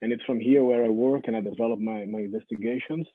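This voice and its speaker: A man from Brazil, a guest artist on a video-call panel, speaking haltingly with many fillers and restarts. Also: mid-range voice, fairly even intonation, husky, no room sound. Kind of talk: panel discussion. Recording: muffled phone-like line, dry.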